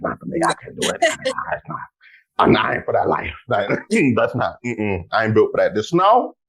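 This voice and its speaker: A man hosting a casual podcast, talking in an animated way, exclaiming loudly and speaking straight to his listeners. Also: fairly low pitch, moderate pace, vocal fry, a crowd in the background. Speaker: podcast host.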